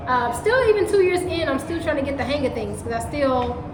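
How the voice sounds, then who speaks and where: High-voiced playful woman, echoey room. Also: lively intonation, a crowd in the background. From Kentucky, USA. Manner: playful, laughs often, drawn-out phrases. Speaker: co-host, model. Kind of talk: casual chat. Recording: echoey room with loud background hiss.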